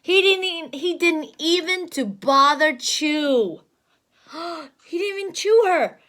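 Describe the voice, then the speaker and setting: High, very animated woman reading to a child. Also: takes it slowly. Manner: exaggerated, expressive, gasping, simple child-directed speech. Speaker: teacher reading aloud to a child. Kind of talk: animated storybook read-aloud with asides to a child.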